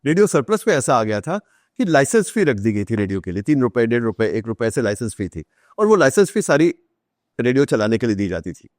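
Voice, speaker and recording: A man's voice: medium pitch, very animated, fast talker, darkish tone, no room sound, clean studio sound. A man with an Indian accent telling stories conversationally as a podcast guest, explaining things and mixing Hindi with English. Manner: explanatory, acts out quoted remarks; switches between Hindi and English. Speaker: author, interview guest.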